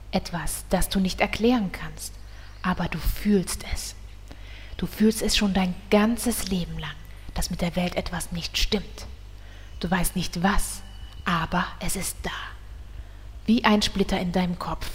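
An actress playing a mysterious female high priestess who speaks in a hushed, whispery, portentous way in a comedy audio drama. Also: slight background noise, natural voice. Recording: long hall reverb.

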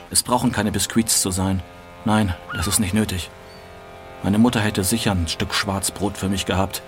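An actor playing a hungry, freezing, bitterly weary war returnee in a radio drama, speaking in terse, dramatic phrases. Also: male voice, fairly low pitch, close to an everyday voice. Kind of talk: German stage-style radio drama acting. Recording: music behind the voice.

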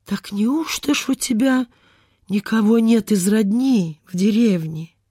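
A woman's voice: medium pitch, a little slow, dark tone, husky, no echo, clean studio sound. An actress playing a fussy, pitying, devout landowner lady in a Soviet radio play, given to exclaiming and sighing. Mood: concerned, questioning.

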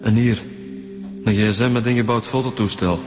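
A man's voice: on the low side, fairly even intonation, moderate pace, music in the background, natural voice. A man with a Flemish accent playing an informal junior police detective in a radio drama, casual and a little hesitant. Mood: hesitant, intrigued. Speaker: actor in a radio crime serial. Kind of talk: naturalistic radio drama acting.

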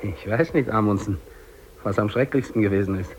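An actor playing a dying, weary English polar explorer, speaking slow, declamatory monologue with long pauses in a 1950s German radio drama. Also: male voice, fairly low pitch, close to an everyday voice. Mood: bleak, anguished. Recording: noisy old radio drama recording.

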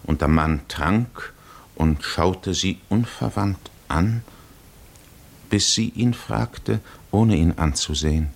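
An actor as the solemn storyteller of a 1950s radio drama, narrating a literary tale in a measured, deliberate way. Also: male voice, low-pitched, creaky, exaggerated voice.

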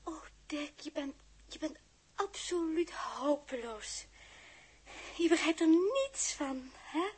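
A woman's voice: high voice, lively intonation, slow. A woman with a Netherlands Dutch accent acting an impetuous young crew member in a 1960s radio drama, breathless and exclamatory. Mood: exasperated. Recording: vintage radio recording, faint noise.

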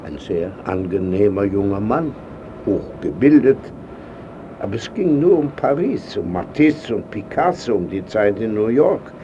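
An actor playing a frail, wry old painter in a radio drama, speaking tersely and reflectively as someone wistful and close to death. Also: male voice, on the low side, big pitch swings, measured pace, dark tone, highs cut off, slight background noise. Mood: calm, wry. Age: older.

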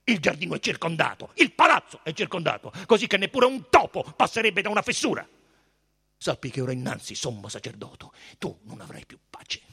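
A man's voice: mid-range voice, fairly quick, creaky, hardly any pauses, fairly bright tone, breathy edge, heavily acted. A proud Roman procurator from a storybook, played by a man reading aloud. He is imperious and haughty, bearing down on his words with emphasis. His whole manner carries menace. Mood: scornful, threatening.

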